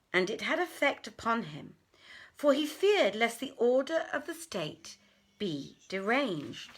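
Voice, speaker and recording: A woman reading a literary tale aloud as narrator, with formal, theatrical delivery and deliberate phrasing. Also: mid-range voice, a bit bright. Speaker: narrator, reader. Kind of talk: dramatic literary storytelling.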